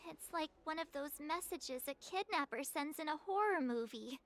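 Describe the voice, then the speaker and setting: A woman voicing a bright, curious young girl adventurer in a video game, perky and inquisitive. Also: high-pitched, expressive, normal speed. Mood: curious, puzzled.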